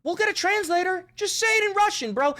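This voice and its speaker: A political commentary host ranting casually, excitable and sarcastic, swearing freely and repeating the punchlines. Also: extremely high pitch, fairly quick. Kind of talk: profane casual rant.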